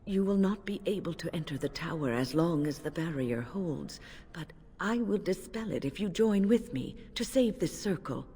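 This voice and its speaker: A composed, resolute older woman mage for a fantasy video game. Her voice is low, mellow and slightly husky, and her grave, formal words ring in a long hall reverb. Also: animated, moderate pace. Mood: grave, questioning.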